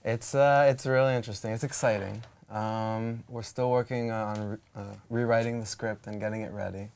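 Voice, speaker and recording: A man's voice: on the low side, fairly even intonation, moderate pace, a little mellow, clear, resonant tone. A man in his twenties answering interview questions hesitantly, with many fillers. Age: 20s. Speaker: filmmaker guest.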